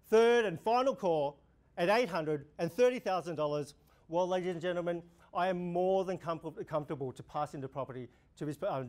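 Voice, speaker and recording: A young man with an Australian accent, an auctioneer running a practice auction, calling bids in a steady repeating rhythm. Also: on the high side. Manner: rhythmic, repetitive bid calling, gestures while talking. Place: indoor room before a projection screen.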